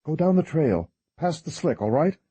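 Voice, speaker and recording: A male narrator voicing a calm, determined woman in an audiobook, speaking softly and steadily. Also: on the high side, moderate pace, breathy edge, no room sound, voice pushed up. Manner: soft, steady and resolute.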